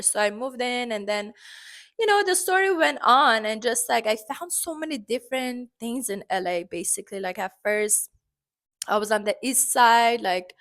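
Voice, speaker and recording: A woman from Iran with an Iranian accent, speaking English as a second language, chatting expressively in a casual vlog full of fillers and sudden pitch jumps. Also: fairly bright tone. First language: Farsi. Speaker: vlogger.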